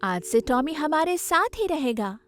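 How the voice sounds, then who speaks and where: A woman's voice: high voice, sing-song, a little slow. A woman playing an emotional, protective mother in a cartoon. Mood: softened, reassuring. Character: stern, excitable mother, protective of her daughter.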